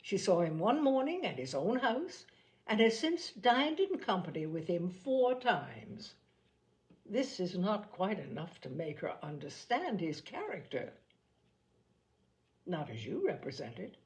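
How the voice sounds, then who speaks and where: Lady reading as a witty young regency heroine, wry and proper, super lively pitch. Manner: wry, articulate, formal.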